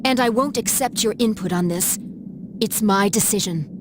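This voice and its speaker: An actress playing a gentle but resolute older sister in an English anime-style video game, speaking in a measured, earnest, formal way. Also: female voice, medium pitch, noisy background, natural voice.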